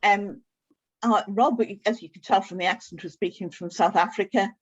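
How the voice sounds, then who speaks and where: A woman chairing an online panel, briskly introducing the speakers. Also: medium pitch, slightly rough, lo-fi sound.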